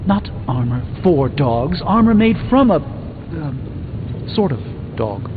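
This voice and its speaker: A learned middle-aged sorcerer speaks in composed, formal phrases for a video game. His voice sits a little high. It rings in a long hall reverb, thinly band-limited, with faint music behind. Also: sing-song, phone-quality sound, noisy background. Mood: indignant, defensive. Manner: precise, formal, measured diction. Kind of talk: video game character dialogue.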